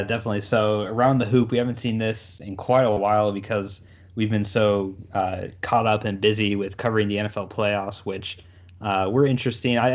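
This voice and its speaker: A man hosting a casual sports discussion, conversationally setting up topics and putting questions to his co-hosts. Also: fairly low pitch. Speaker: sports podcast host.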